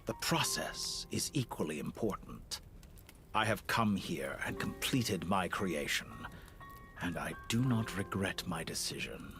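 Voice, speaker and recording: An actor playing a cold, cultured villainous scientist in a video game, speaking in eloquent, measured, theatrical phrases. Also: male voice, sits low, animated. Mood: coolly probing. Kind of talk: scripted video game voice acting. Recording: music under the voice.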